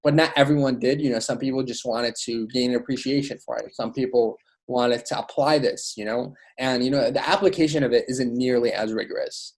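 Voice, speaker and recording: A man's voice: medium pitch, fairly quick, a little mellow. A young man, born in Iraq, talking informally and enthusiastically as a podcast guest, with lots of "like" and "you know". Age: young adult. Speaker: podcast guest, student author and educator. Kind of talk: casual interview talk.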